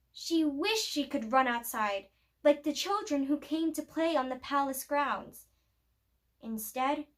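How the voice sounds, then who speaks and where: High-voiced woman reading a children's story slowly. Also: a bit bright. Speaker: library storyteller reading aloud. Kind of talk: expressive children's picture book read-aloud.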